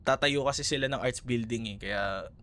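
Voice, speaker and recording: A man's voice: medium pitch, moderate pace, sharp tone, slight background noise, lightly acted. A man with a Filipino accent playing a young, caring, teasing ex-boyfriend in a casual, hesitant ASMR roleplay conversation. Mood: sheepish, explaining. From Philippines. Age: young adult.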